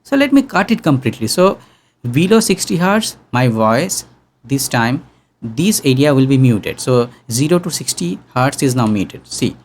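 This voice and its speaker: A young man teaching sound engineering in an online workshop, talking casually and humming low tones to demonstrate, close to a studio mic in a small room. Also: sing-song, moderate pace. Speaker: audio engineering instructor. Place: small room with green backdrop. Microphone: studio condenser mic on boom arm.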